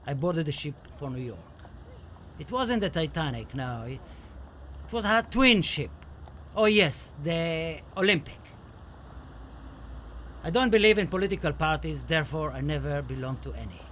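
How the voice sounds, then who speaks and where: A man's voice: fairly high pitch, sharp tone, slight background noise. An actor playing a passionate, wistful Spanish poet looking back on his life and death in a theatrical, declamatory radio play monologue. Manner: dramatic, declamatory, lingering over poetic phrases.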